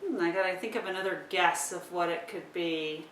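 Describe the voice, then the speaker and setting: A woman's voice: normal speed, a bit bright. Woman presenter in a roomy, distant recording. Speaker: presenter modelling how to use a communication device. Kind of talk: casual instructional talk, thinking aloud. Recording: roomy, distant mic with rumbling noise.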